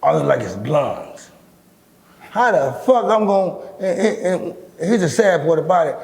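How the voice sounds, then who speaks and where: A middle-aged man with a deep, raspy voice, talking bluntly and with lots of swearing as an interview guest. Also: unhurried. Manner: blunt, profane, animated storytelling. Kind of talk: casual interview talk.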